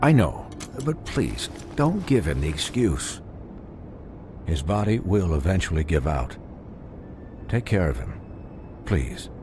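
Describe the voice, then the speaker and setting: Fussy game doctor, very low voice, swooping intonation, formal, dry wit, noisy ambience. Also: male voice, sing-song, slow pace, long pauses, full character voice. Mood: earnest, weary. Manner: formal, clipped, condescending. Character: fussy, dry-witted male doctor, weary and courteous. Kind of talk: video game voice acting.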